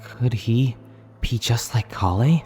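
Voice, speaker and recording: A calm young adventurer played by an actor speaks frankly at a middling pitch. It is video game dialogue with music faintly underneath. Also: slow pace, natural voice. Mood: calm, concerned.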